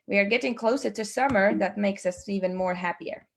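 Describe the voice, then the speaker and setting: Woman from Latvia, webinar host, upbeat, halting with fillers, video call sound. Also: medium pitch. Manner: upbeat, many fillers and restarts, laughs often. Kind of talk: online team meeting presentation.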